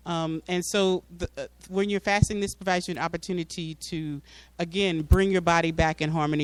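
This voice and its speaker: A man's voice: fairly high pitch, clean tone, no room sound. A man giving an informal explanatory talk to a community audience, often repeating words as he searches for phrasing. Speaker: public speaker at a mosque. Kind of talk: informal public address with slides.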